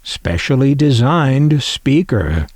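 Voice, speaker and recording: A man hosting a collector video, calmly describing an old item and reading from its manual, with wry little asides. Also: medium pitch, slow pace, no room sound, clean studio sound. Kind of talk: unhurried descriptive show-and-tell.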